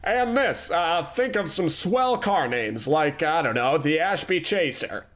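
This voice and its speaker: Male actor: a self-important tycoon, high-ish voice, very lively bossy radio-comedy delivery. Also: unhurried. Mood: haughty. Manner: pompous, bossy, theatrical. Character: pompous, self-absorbed male animation tycoon boss. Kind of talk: comedic old-time radio acting.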